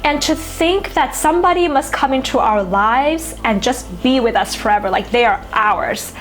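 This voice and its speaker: A woman's voice: high-pitched, lively intonation, normal speed. A woman whose first language is Thai, an astrologer musing in casual talk to camera, full of "you know" and "like".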